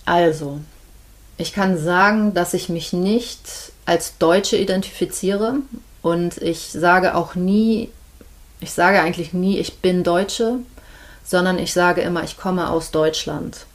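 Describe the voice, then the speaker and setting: A woman speaks with a fairly low voice in a casual conversation. She talks thoughtfully, often pausing and hesitating before she finds her words. She comes across as an easygoing interview guest. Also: steady tone. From Germany, Hamburg. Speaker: midwife, podcast guest.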